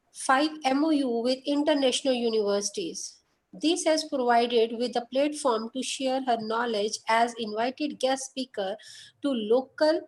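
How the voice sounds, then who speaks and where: A high-voiced woman hosts an online workshop over a video call. She reads a formal introduction of the guest at a steady pace. She makes small slips along the way. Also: a little hiss or hum, poor audio. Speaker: workshop host. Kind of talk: reading a speaker introduction.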